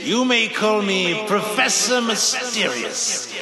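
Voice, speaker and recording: An actor playing a gloating mad-scientist villain in a radio drama, who speaks with slow, theatrical menace. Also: male voice, high voice, animated, music in the background, heavily acted. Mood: menacing. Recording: echoey hall with loud crowd.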